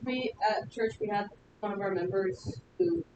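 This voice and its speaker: A woman's voice: mid-range voice, lively intonation, a little slow, vocal fry. A woman student casually telling an anecdote in class. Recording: echoey noisy room, far from mic.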